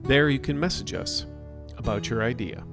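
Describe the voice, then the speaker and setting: A man's voice: mid-range voice, lively intonation, normal speed, gravelly. A man co-host reading a formal, scripted outro announcement for a podcast. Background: plucked guitar music.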